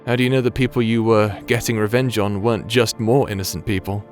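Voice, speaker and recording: A composed space pirate captain as a man acts him out speaks in a measured, probing way. He is a shrewd, fair-minded commander in a sci-fi audio drama, with light music behind him. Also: on the low side, steady tone, close to an everyday voice. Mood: calm, probing.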